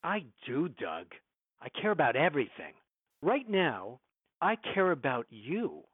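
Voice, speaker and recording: An actor playing a domineering, evasive film studio executive in an audio drama, condescending and clipped, heard over a thin phone line. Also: male voice, on the high side, huge pitch swings, a little slow, husky, dry recording, exaggerated voice. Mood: guarded, cutting. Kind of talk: modern audio drama acting.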